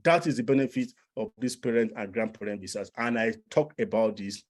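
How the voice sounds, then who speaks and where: A man's voice: fairly low pitch, brisk. A man with a Nigerian accent explaining things as a coach to an audience, persuasive and repeating phrases for emphasis. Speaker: immigration coach and presenter. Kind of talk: informal explanatory presentation to an audience.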